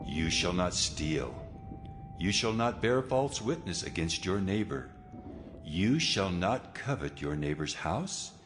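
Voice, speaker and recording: Very deep, solemn commanding God. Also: male voice, big pitch swings, full character voice. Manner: solemn, measured, authoritative. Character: God, solemn commanding male deity giving laws. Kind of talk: dramatized scripture audio acting. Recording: music under the voice.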